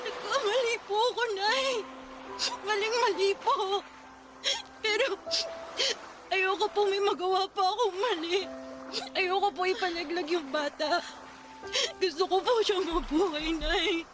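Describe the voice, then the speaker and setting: An actress playing a pregnant young daughter in a Tagalog radio drama, pleading with respect, ashamed and apologetic. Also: female voice, extremely high pitch, a little slow, long pauses. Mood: tearful confession. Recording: clear background noise.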